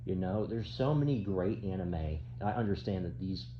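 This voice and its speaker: Low-voiced man griping over muffled audio. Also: animated. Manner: halting, repetitive, complaining. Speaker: reaction video commentator. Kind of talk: casual off-the-cuff commentary. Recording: dull mic with clear background noise.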